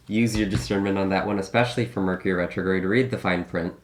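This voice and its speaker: A man giving a casual tarot reading, slightly raspy from a recent illness, musing and thinking aloud with many hedges and restarts. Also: sits low, fairly even intonation, normal speed. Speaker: tarot reader.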